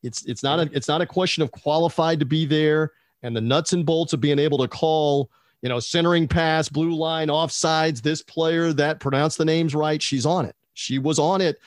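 A man's voice: on the high side, steady tone, moderate pace, no echo, studio quality. A man hosting a casual sports talk show, making his points emphatically and repeating them for stress. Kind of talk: casual podcast debate.

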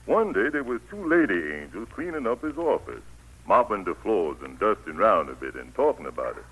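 An actor playing a kindly Bible teacher telling stories in an old radio play, husky and homespun. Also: male voice, fairly low pitch, big pitch swings, brisk, a bit bright, heavily acted, rough recording. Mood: storytelling. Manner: plain, homespun storytelling. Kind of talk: 1940s-50s radio play acting. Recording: vintage radio broadcast recording.

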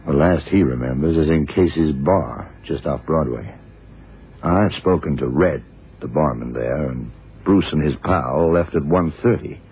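An actor playing a shrewd, dogged FBI investigator in a radio crime drama, terse and deliberate. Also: male voice, very deep voice. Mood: brooding. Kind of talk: 1960s radio drama acting. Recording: muffled, noisy old radio broadcast recording.

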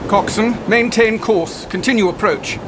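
High-voiced, commanding sea captain. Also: male voice, a little slow. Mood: firm. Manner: terse, barking orders. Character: commanding, resolute sea captain of a sailing ship. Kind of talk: radio drama acting. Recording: clear sea storm and crew noise behind.

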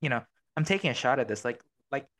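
A man's voice: medium pitch, expressive, fast talker, a bit bright. A man hosting a casual podcast, rambling with many fillers and restarts. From Filipino American, living abroad. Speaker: podcast host.

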